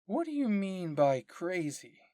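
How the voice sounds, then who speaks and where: A man voicing an excitable, nosy female military researcher in an anime fan story, gushing and emphatic. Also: sits high, unhurried, no room sound, clean studio sound, pitched above the performer's own voice. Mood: eager curiosity.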